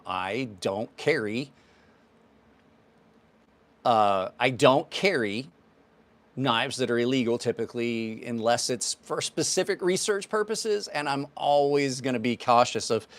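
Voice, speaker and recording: A man in his fifties hosting a knife livestream, answering viewers' questions in a rambling, offhand way. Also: mid-range voice. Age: 50s. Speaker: knife channel host. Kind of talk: casual livestream chat.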